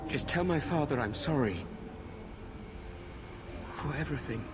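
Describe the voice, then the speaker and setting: An actor playing a tormented, self-pitying murderer in a video game, pleading and full of guilt. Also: male voice, a little high, expressive, measured pace. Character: tormented, guilt-ridden murderer, magistrate's son. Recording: noisy game ambience behind voice.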